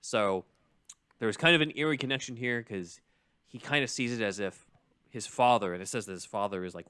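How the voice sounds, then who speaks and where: A man's voice: deep voice, a bit bright. A man hosting a podcast, explaining a film story in casual chat with an analytical, enthusiastic fan's manner and casual asides. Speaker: podcast host.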